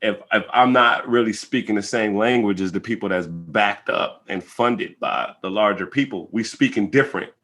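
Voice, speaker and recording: A man's voice: fairly low pitch, moderate pace, hardly any pauses, a bit bright. An American man speaking as an interview guest, reflecting conversationally in an earnest, emphatic way and often checking in with his listener.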